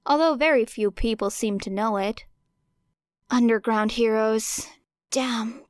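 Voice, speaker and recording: A woman reading fan fiction as an audiobook narrator, with an expressive, wry and dramatic delivery. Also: on the high side, no room sound, clean studio sound.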